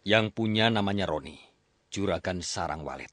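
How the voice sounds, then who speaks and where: A man's voice: sits low, moderate pace, a bit bright, dry recording, clean studio sound. A man voicing a swaggering male gang boss who plans a robbery, in a radio drama told by hosts. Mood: bossy. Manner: bossy, swaggering.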